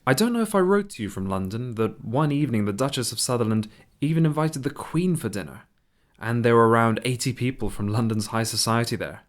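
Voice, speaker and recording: A man playing a discreet, courteous gentleman with formal delivery in a radio play. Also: mid-range voice, animated, brisk, natural voice. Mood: courteous, composed. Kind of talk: scripted radio play, formal letter reading. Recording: studio radio play with music behind. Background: music.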